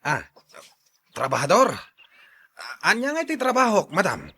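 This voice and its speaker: A man's voice: high-pitched, huge pitch swings, normal speed, pushed and bright, slightly rough, heavily acted. An actor playing an eager, inquisitive man who is deferential to his betters in a radio drama. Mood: curious, deferential.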